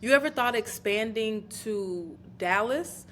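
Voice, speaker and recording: A woman from Chicago with an American accent hosting a casual podcast interview in animated, slangy, girlfriend-style talk. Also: a little high, measured pace. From Chicago, United States. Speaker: podcast host. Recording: clear background noise.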